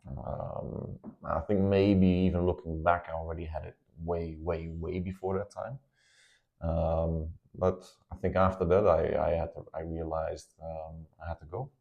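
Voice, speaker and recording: Deep gravelly man, halting. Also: flat delivery, highs cut off. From Netherlands. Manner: hesitant, many ums and restarts. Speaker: guest. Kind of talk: casual interview talk.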